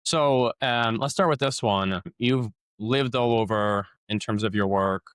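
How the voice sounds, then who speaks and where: A man's voice: fairly low pitch, a little slow, fairly bright tone, clear, resonant tone, dry recording. A man hosting a podcast, asking casual interview questions with lots of fillers and stumbling restarts.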